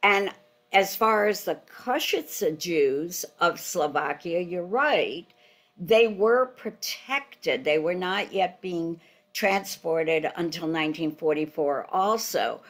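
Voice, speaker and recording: Older female host, Hungarian native, low register, thoughtful pace, webcam audio from home. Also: expressive, slow pace. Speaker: interviewer. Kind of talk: video call interview. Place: home living room. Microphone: laptop webcam video call.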